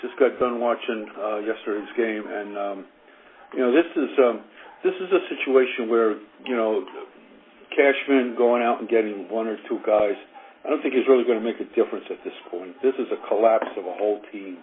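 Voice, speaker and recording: A man leaving a casual voicemail opinion as a listener, halting and repetitive with many filler words, on a phone recording. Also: on the low side, phone-quality sound. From South Carolina, United States.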